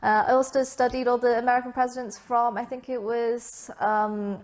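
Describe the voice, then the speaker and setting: A woman's voice: a little high, level tone, normal speed, darkish tone. Chatty young woman being interviewed. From Vietnam. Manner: chatty, many fillers and asides. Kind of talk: casual interview answers.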